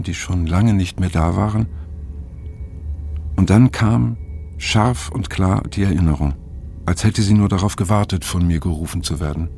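An actor playing an older, reflective man who narrates his memories in a radio drama, in measured, pensive, fragmentary phrases with a husky, gravelly voice. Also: low-pitched, mellow. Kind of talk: scripted radio drama narration, introspective monologue.

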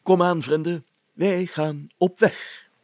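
A man's voice: on the high side, steady tone, slow pace, darkish tone, no echo, voice pushed up. Man doing a pompous, raised-voice village mayor. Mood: self-important. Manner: pompous, hesitant speechifying. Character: pompous village mayor addressing his townsfolk.

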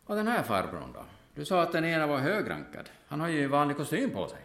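A man playing a shrewd, seasoned investigator of corpses, speaking with macabre matter-of-fact calm in an echoing room. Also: fairly high pitch, full character voice. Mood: curious, musing. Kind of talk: audio drama acting.

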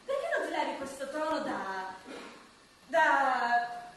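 A woman's voice: high voice, expressive, slow, a bit bright, full character voice. A woman acting a blunt, sarcastic, fed-up female character in a radio comedy. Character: blunt, foul-mouthed, fed-up dead woman. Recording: very echoey, distant, faint noise.

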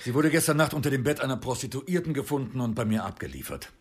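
An actor playing a stern police inspector in a radio crime drama, speaking formally and probingly in a husky voice. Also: male voice, medium pitch, expressive, fast. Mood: grave, official.

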